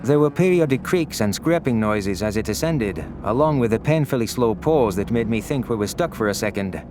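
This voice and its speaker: A man's voice: mid-range voice, animated, moderate pace, noisy background. A man reading scripted first-person science fiction narration as a story narrator. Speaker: narrator of audio stories.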